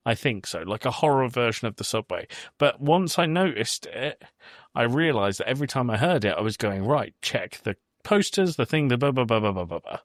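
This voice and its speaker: A man's voice: mid-range voice, sing-song, brisk, no room sound, studio quality. A man co-hosting a podcast, chatting casually about films in a blunt, conversational way with some swearing. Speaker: podcast host. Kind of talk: casual film review chat.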